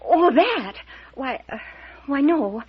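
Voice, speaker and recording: A woman's voice: high-pitched, big pitch swings, takes it slowly, highs cut off, a little hiss or hum, exaggerated voice. An actress playing an anxious, easily flustered young woman in an old-time radio mystery, hesitant and distraught, on an old recording. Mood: flustered, evasive. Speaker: radio drama actor.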